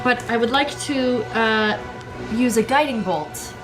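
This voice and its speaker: A woman's voice: fairly high pitch, a little slow, poor audio. Female game player, raised register, casual table talk, prominent music underneath.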